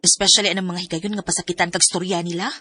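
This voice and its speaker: A woman acting a loyal, frank best friend in a radio drama, chatty and expressive, concerned and supportive. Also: lowish voice, quick pace, a little hiss or hum.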